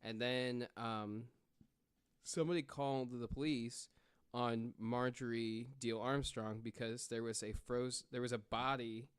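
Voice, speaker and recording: A man with a fairly low voice hosts a podcast in a loose, conversational style. His voice is clean and smooth, recorded dry. He feels his way through the story haltingly, often correcting himself. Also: fairly even intonation, dry recording. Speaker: podcast host telling true crime stories.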